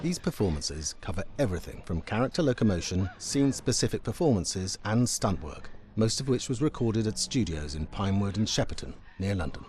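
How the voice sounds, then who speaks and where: A man's voice: lowish voice, big pitch swings, fairly quick, hardly any pauses, darkish tone, slight background noise. A man giving scripted documentary narration as a game's creative director. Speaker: game executive creative director. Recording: music bed behind narration. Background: background music.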